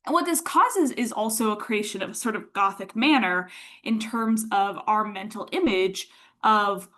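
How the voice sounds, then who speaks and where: Casting: female lecturer, medium pitch, informal explanatory delivery. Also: fairly bright tone.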